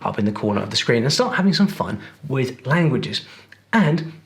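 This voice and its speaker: A man hosting and narrating a video about accents, enthusiastic and lively. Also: mid-range voice, normal speed. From not American. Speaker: host. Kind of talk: documentary-style narration.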